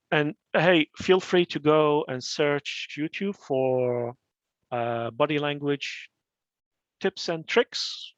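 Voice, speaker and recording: A man's voice: medium pitch, no echo, lo-fi sound. A man from Iraq presenting career advice in an informal talk, full of fillers and restarts, on a video call. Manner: advisory, with frequent fillers and restarts.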